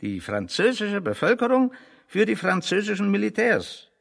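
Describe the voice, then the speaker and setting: A man acting in a German radio play as a pedantic, ironic intellectual, speaking deliberately and mock-seriously. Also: high voice, huge pitch swings, gravelly, big-room sound, exaggerated voice.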